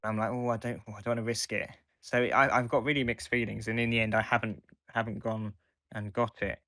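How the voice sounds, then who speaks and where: A man co-hosting a casual fan podcast, talking in a halting, hesitant way as he reviews. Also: fairly low pitch, flat delivery, sharp tone, no echo, clean studio sound. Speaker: podcast co-host.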